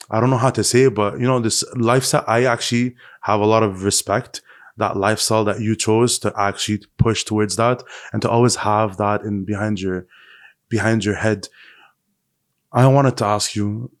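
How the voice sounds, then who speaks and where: Low-voiced man hosting a conversational podcast. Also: no room sound, studio quality. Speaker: podcast host.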